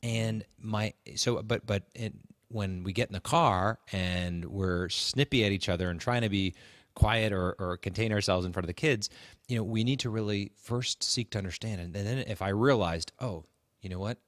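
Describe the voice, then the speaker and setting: A man with a low voice shares relationship advice as a podcast co-host. His delivery is conversational and halting, with words repeated for emphasis. The recording is dry and close. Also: no echo.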